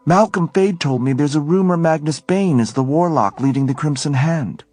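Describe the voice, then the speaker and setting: A man voicing a sharp, suspicious young woman who speaks in a cool, probing way. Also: fairly high pitch, normal speed, dry recording, soft background music, pitched above the performer's own voice, close to an everyday voice.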